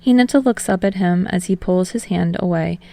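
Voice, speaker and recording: A woman's voice: on the low side, brisk, creaky, warm tone. A woman reading scripted fiction aloud as an audiobook narrator.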